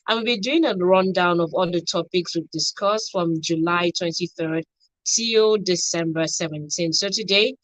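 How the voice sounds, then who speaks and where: A woman's voice: lowish voice, no echo, low-quality recording. A woman with a Nigerian accent hosting a radio show from a studio. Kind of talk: radio presenting.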